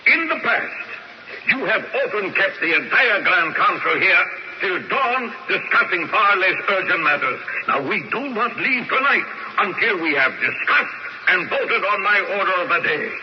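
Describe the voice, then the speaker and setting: Defiant councillor, hissy old radio. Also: male voice, sits high, animated. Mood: impassioned, accusing. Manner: theatrical, oratorical, deliberate. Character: defiant Italian fascist councillor, grimly resolute, turning against the dictator. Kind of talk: American radio drama acting. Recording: noisy, dull old radio broadcast.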